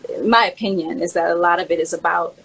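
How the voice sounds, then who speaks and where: Woman chatting, slightly husky low-clarity voice. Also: steady tone, fast talker, a bit bright, low-quality recording. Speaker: host and memoir author counting down favorite songs. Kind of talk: casual chat, personal reminiscing.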